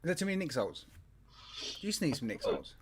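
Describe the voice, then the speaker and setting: A man's voice: on the high side, fairly quick, a crowd in the background. A man co-hosting a live show in casual chat, telling stories and laughing often. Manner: animated storyteller, laughs often. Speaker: podcast co-host.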